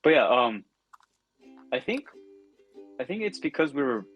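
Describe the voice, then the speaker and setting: A man's voice: mid-range voice, very animated, a little slow, dull audio, soft background music. Rambling young man co-hosting. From United States. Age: 20s. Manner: rambling, full of fillers and restarts. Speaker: podcast co-host. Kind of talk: casual chat.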